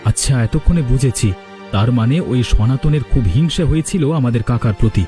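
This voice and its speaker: A man's voice: medium pitch, brisk, over music, close to an everyday voice. A man voicing an earnest, concerned young village man in a cartoon. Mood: indignant. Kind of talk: cartoon character dialogue.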